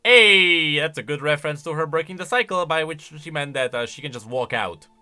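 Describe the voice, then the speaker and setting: A man giving unscripted reaction commentary on game voice lines in an animated, exclamatory way. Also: on the high side, a little fast, smooth, faint music behind. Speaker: YouTube commentator.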